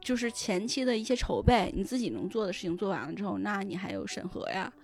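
A woman's voice: medium pitch, sing-song, fast, faint music behind. A woman in a casual podcast chat, explaining things with frequent small affirmations. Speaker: podcast panelist discussing adaptations of novels.

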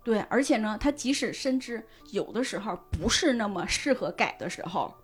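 High-voiced woman chatting over music. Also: animated, normal speed, slightly rough. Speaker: guest on a discussion show. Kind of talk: animated casual chat. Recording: background music under the voice.

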